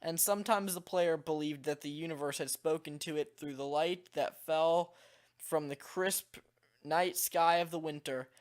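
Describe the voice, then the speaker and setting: A man with a slightly hoarse, worn-out voice, reading a game text aloud in a calm, measured way. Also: a little high. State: slightly hoarse, voice nearly gone. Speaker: video creator.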